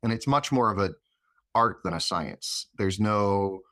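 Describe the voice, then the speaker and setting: A man speaking as an interview guest in a reflective, explanatory way, phrasing his thoughts in a measured manner. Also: on the low side, pushed and bright, no echo, studio quality. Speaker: interview guest, chief of staff.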